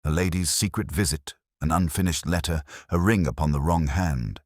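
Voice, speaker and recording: Man voicing very deep, theatrical Victorian detective. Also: normal speed, no room sound, studio quality. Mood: composed, lecturing. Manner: theatrical, precise, declamatory. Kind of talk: audiobook detective story dialogue.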